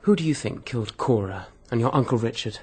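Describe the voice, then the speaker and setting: A brusque, suspicious English husband in a radio mystery. His British-accented voice is husky and moves about in lively, clipped turns. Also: mid-range voice, a little fast. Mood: defensive, accusing. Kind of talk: radio mystery drama acting.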